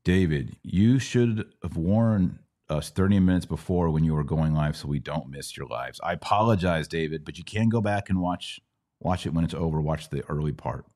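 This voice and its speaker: A man with a low voice hosts a casual live Q&A. He explains things in a didactic way, step by step, posing questions and offering everyday analogies as he goes. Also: normal speed, mellow. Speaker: podcast host answering Bible questions.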